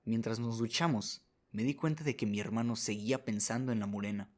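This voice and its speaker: A man's voice: lowish voice, a little fast. A man narrating a short story as a scripted radio drama, reading as the narrator.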